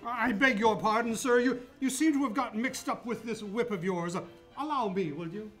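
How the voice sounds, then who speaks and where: An actor playing a courteous, gently instructive older gentleman in a radio play. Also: high voice, normal speed, soft background music. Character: courteous, helpful older gentleman, Kris Kringle. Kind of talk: stage-style radio play acting.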